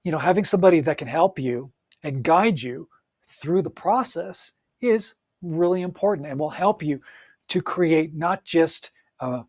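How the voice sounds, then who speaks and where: A man's voice: on the high side, lively intonation, a little slow, darkish tone, no room sound, clean studio sound. A man giving an upbeat, encouraging solo talk as a coach, speaking straight to the listener with frequent fillers and restarts. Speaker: audiobook coach.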